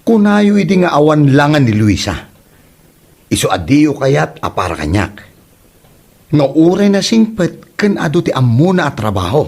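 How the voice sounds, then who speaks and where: A man's voice: a little high, very animated, normal speed, darkish tone. A man playing a lovestruck adult son who pleads and protests, in a comedy radio drama with an Ilocano accent. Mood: pleading.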